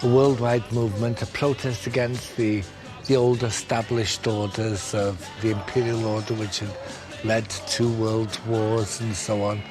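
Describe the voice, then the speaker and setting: A man's voice: on the low side, little pitch movement, measured pace, over music. A man commenting in a documentary interview, thinking aloud as he speaks.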